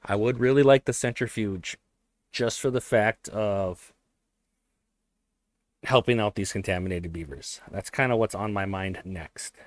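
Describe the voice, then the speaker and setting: A man thinking aloud while he plays a strategy game, his voice a little hoarse, often correcting himself as he goes. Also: on the low side, a little slow, no echo. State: voice slightly hoarse. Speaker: let's play host. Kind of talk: casual gameplay commentary.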